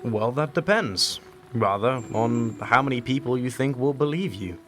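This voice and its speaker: An actor playing a calm, mysterious agent who guards time, speaking in a measured, formal, gently wry way in an audio drama. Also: male voice, mid-range voice, over music, lightly acted. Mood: grave, measured.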